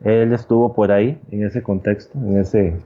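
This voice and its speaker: A man talking conversationally as a guest, reflective and halting, often checking for agreement as he tells stories. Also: lowish voice, steady tone, dull audio. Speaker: guest commentator. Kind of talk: conversational sports storytelling.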